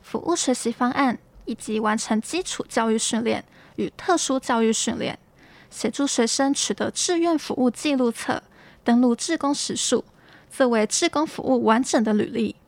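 A woman's voice: on the high side, moderate pace, creaky, no echo. A young woman with a Taiwanese Mandarin accent reading scripted campus news as a student presenter. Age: young adult.

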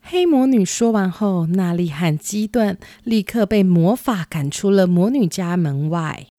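A woman's voice: medium pitch, lively intonation, unhurried, dark tone, no room sound. A woman narrating a scripted children's story as the storyteller.

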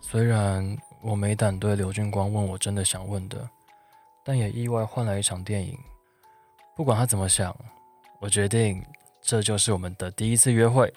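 A man playing a wordy, lovestruck high school boy in a radio drama, given to mock-solemn, theatrical turns. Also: steady tone, moderate pace, darkish tone. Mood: quiet resolve. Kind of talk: radio drama dialogue and inner monologue. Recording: music behind the voice.

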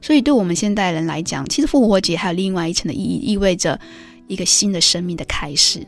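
A woman's voice: on the low side, fast, faint music behind. A woman hosting a devotional radio talk with a co-host, explaining things in an earnest way.

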